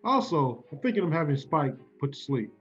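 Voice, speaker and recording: A man's voice: on the high side, very animated, brisk, faint music behind. Wry older suitor, acted by a man, dull recording, understated, tired, old-time radio romance. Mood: weary. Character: weary, wry older bachelor suitor with dog. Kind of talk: classic radio play acting.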